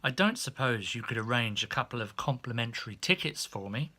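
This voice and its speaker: A man's voice: medium pitch, lively intonation, a little fast, sharp tone. A man voicing a pushy, oblivious hotel maid who chatters and asks nosy questions in an audiobook. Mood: chatty, pushy. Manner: nosy, unembarrassed, firing question after question. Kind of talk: audiobook character voice.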